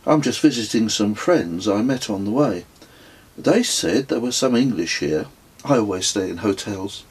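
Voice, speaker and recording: A man voicing a prim middle-aged English woman hiker, brisk and cheery, in an audiobook story. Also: fairly low pitch, animated, darkish tone, husky. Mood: bright.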